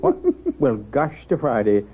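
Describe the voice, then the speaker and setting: An American actor playing a wise, wry, middle-aged small-town doctor in 1930s radio drama style, with folksy, hesitant mumbling. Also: male voice, medium pitch, animated, a little slow, slightly rough. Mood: genial, absent-minded. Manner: folksy, hesitant, absent-minded mumbling asides. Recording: tinny, narrow-band 1930s radio recording.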